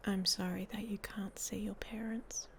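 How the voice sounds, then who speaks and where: A woman playing a hot-tempered, protective she-wolf in an intimate ASMR roleplay, with a husky, breathy voice and a blunt, sardonic manner. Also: medium pitch, flat delivery, normal speed, slight background noise, lightly acted. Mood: gruff, reassuring. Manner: sardonic, blunt, speaking straight to the listener.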